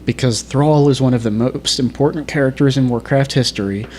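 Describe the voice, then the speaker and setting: A man of average pitch chats casually as a podcast co-host. His delivery is wry and sarcastic, over faint background noise. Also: brisk, a little mellow. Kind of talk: casual sarcastic chat about movies.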